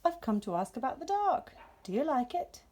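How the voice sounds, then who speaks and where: A young baby owl, timid but bouncy and eager, acted out by a woman reading a children's picture book. The pitch is high and swings about in a lively way, with a slightly breathy edge. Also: moderate pace. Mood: earnest.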